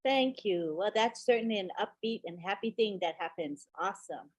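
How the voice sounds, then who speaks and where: A woman's voice: medium pitch, animated, no room sound. Upbeat woman hosting a video call. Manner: upbeat and encouraging, drawn-out introductions. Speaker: host of an online group call. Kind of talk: casual moderating on a video call.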